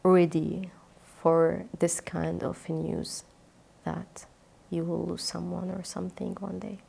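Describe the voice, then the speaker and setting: Young Palestinian woman, slightly low voice. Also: little pitch movement, slow, creaky, warm tone, slightly rough. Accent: Palestinian Arabic. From Gaza, Palestine. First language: Arabic. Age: 20s. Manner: earnest, explains with examples and repetition. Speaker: interview guest. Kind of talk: personal interview answers in non-native English. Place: dark studio, indoors. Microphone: lapel mic.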